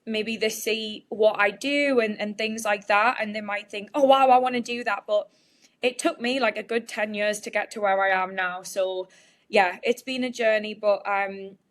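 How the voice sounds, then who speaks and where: A woman's voice: medium pitch, fairly even intonation, moderate pace. Chatty young woman with a Geordie accent. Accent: Geordie (northeast England). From Newcastle, northeast England. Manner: chatty and open, explains at length. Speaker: guest, bodybuilding competitor. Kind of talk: conversational interview answers.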